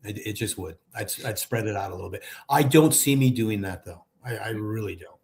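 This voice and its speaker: Man, seventies, from Texas. Relaxed guest on a video chat, repeats phrases for emphasis. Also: lowish voice, a little fast, vocal fry. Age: 70s.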